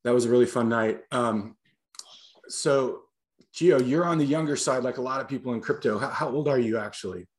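A man from Los Angeles hosting a podcast interview, talking in a halting way with frequent pauses. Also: medium pitch. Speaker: podcast host.

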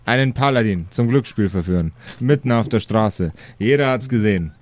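A man's voice: on the low side, animated, normal speed, lightly acted. A man voicing a stern, unyielding paladin guard in a fantasy game, speaking in a formal, reproving way.

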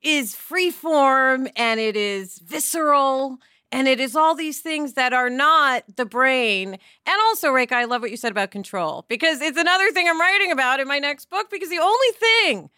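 A woman's voice: sits high, lively intonation, normal speed, pushed and bright, no room sound, studio quality. A woman talking casually as a podcast panelist, with a candid, informal and emphatic manner. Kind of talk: casual conversational discussion.

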